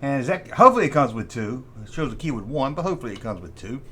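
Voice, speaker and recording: A man's voice: medium pitch, sing-song, racing, fairly bright tone, slightly rough. A man hosting a vintage toy show, chatting informally and nostalgically and often repeating himself. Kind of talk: rambling nostalgic commentary on collectible toys.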